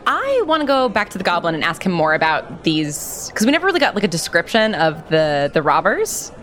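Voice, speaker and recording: A woman's voice: fairly low pitch, wildly swooping pitch, a little fast, fairly bright tone. A woman playing a tabletop game, chatting casually and playfully about what her character does.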